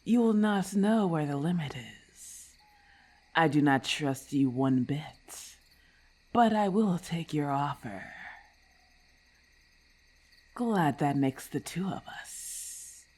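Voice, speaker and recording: A woman voicing a haughty, predatory snake-monster lamia in an ASMR roleplay, imperious and disdainful, with deliberate formal phrasing. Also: low voice, lively intonation, a little mellow, heavily acted. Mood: menacing, commanding.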